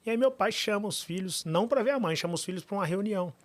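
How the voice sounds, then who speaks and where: A man, sitting as a podcast guest and telling his story. He talks fast and keeps driving his points home by repeating them. His voice sits a bit higher than most men's. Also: no echo. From Minas Gerais, Brazil. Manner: fast, animated storytelling with emphatic repetitions.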